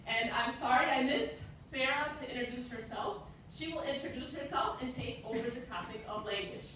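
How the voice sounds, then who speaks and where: A woman's voice: high voice, normal speed, a bit bright. A woman trainer giving a workshop lecture to a class, explaining her points with worked examples and checking in with the room. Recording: echoey room, distant mic, faint noise.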